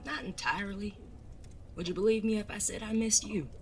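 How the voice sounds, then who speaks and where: A woman's voice: medium pitch, steady tone, brisk, soft background music, natural voice. A woman playing an anxious, earnest bandit son in an audio drama, speaking politely and formally with some hesitation. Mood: soft, affectionate.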